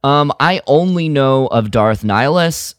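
A man co-hosting a podcast in casual fan chat, halting and playful. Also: medium pitch, expressive, dry recording, clean studio sound. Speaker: podcast co-host.

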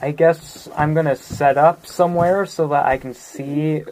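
A woman's voice: deep voice, steady tone, unhurried, a little mellow, a little hiss or hum, rough recording. A woman playing a tabletop roleplaying game and talking casually about the next move.

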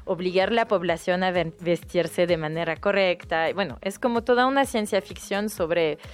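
French-accented woman guest, halting and thoughtful, low-ish voice, soft music underneath. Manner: hesitant, frequent restarts and fillers. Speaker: guest book recommender. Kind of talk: casual radio interview.

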